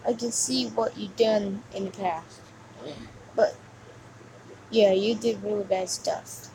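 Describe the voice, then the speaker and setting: A woman voicing a mocking, sneering demon who taunts the player in amateur video game voice lines. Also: on the high side. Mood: scornful mocking. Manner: taunting, scornful, drawn-out sighing delivery. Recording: noisy amateur home recording.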